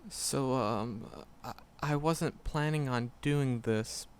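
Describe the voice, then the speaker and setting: Casting: male actor for a bashful magic professor in a romantic audio roleplay; nervous, halting, slow delivery, mid-range pitch. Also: smooth, close to an everyday voice. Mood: bashful confession. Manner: nervous, heavy stammering, hesitant, halting. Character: shy, flustered, lovestruck male magic professor. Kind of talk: roleplay audio drama, speaking softly to the listener.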